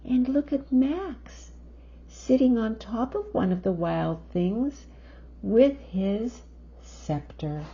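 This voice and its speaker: A woman reading a picture book aloud to children in an expressive, drawn-out storytelling manner, full of wonder. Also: fairly high pitch. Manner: expressive, drawn-out phrasing, gasps of wonder and questions to young listeners. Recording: dull lo-fi sound with faint noise.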